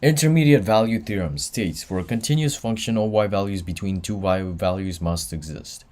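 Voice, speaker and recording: Tired young man with a deep voice. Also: moderate pace, darkish tone. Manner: tired, offhand, reads chat aloud. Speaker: student tutor. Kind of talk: livestreamed exam review.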